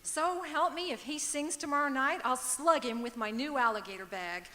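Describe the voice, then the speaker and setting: A woman playing a wry, sharp-tongued married woman in an old-time radio comedy, with emphatic theatrical delivery on an auditorium stage. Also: high voice, normal speed. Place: stage of a performing arts auditorium. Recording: echoey auditorium with long reverb.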